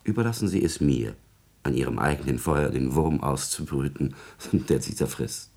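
An actor playing a servile, scheming court secretary in a classical radio drama, insinuating and calculating in a formal, obsequious manner. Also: male voice, extremely low pitch, animated, normal speed, a little mellow, exaggerated voice. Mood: smoothly persuasive.

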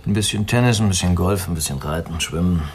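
Low-voiced, weary, self-pitying drinker played by a man. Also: fast, slight background noise, lightly acted. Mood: bitter, resigned. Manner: musing, cynical, self-mocking. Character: weary, self-pitying male drifter and drinker, arrogant, fatalistic. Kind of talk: radio crime drama dialogue.

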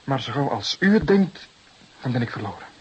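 An actor playing a desperate, defensive young murder suspect of about twenty, nervy and halting under interrogation in a crime drama. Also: male voice, medium pitch, big pitch swings, a little mellow, dull audio. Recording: clearly hissy background noise.

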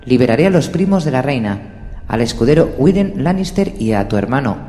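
A man's voice: medium pitch, very animated, fairly quick, mellow, close to an everyday voice. Stern young king laying down terms, man reading it, echoey room with long reverb. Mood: commanding. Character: young northern king, firm and commanding. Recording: roomy echo with long reverb.

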